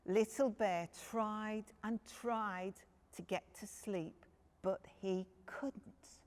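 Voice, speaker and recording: A woman's voice: medium pitch, fairly even intonation, drawn-out words, darkish tone. A woman storyteller reading a picture book expressively to young children, addressing them directly.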